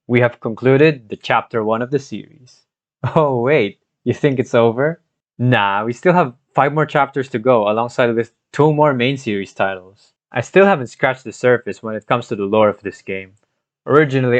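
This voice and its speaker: A man's voice: medium pitch, no room sound. Man narrating, dull lo-fi mic. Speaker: video narrator, gaming content creator. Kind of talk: scripted storytelling narration with personal commentary. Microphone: cheap home microphone, voice-over. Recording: dull lo-fi scuffed home microphone.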